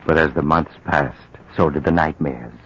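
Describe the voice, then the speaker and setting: A man narrating a radio drama in a measured, grim storytelling manner. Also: very deep voice, a bit bright, a little hiss or hum. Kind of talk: scripted narration. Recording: dull old radio recording.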